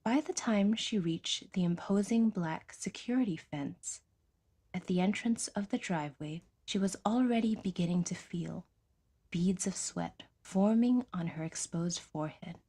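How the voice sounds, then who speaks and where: Slow, low-pitched woman narrating, husky voice. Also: mellow. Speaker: audiobook narrator. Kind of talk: scripted narration of a science fiction story.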